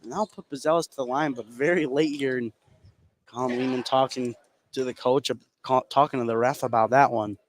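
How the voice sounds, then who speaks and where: A man working as color commentator on a live high school basketball broadcast, giving conversational analysis between plays. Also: fairly even intonation, fairly quick.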